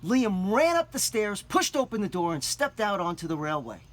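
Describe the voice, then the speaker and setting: A man reading a picture book aloud to children, gently stopping to explain words and show things. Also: high-pitched, very animated, moderate pace. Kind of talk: children's read-aloud with asides.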